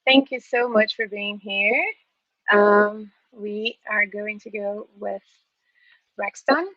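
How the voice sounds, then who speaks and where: A woman's voice: medium pitch, fairly even intonation, slow pace, fairly bright tone, no echo, muffled recording. Portuguese-speaking woman hosting an online panel. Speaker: panel moderator. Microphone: video call.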